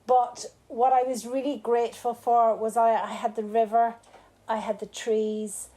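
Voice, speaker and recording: A woman's voice: a little high, little pitch movement, measured pace, dark tone. A woman with an Irish accent, not from the local area, talking casually to a live group at a bar event, rambling with many restarts and fillers. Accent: Irish, not from Cork. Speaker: salon speaker.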